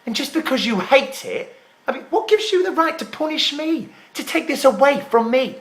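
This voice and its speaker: A man performing a dramatic stage monologue as a desperate, scornful theatre graduate, pleading emphatically and repeating himself haltingly. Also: high voice, wildly swooping pitch, pushed and bright, exaggerated voice. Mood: indignant.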